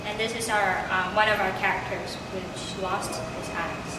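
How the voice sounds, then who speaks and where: A woman's voice: mid-range voice, steady tone, measured pace. A young woman giving informal interview answers, hesitating as she searches for words. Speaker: student puppet show narrator being interviewed. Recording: very echoey, distant, noisy room.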